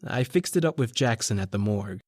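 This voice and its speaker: An actor playing a determined, hard-boiled newspaper reporter, earnest and clipped, in an old-time radio noir style. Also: male voice, on the low side, expressive, brisk, darkish tone, dry recording, clean studio sound. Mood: tense narration.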